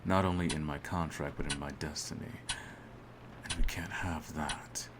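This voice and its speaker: A man playing a cold, smug hitman in an audio roleplay, speaking in a theatrical, menacing and deliberate way. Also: rumbling low, fairly even intonation, noisy background. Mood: cold, composed menace. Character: cold, smug male hitman holding his target at gunpoint. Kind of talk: scripted ASMR audio roleplay.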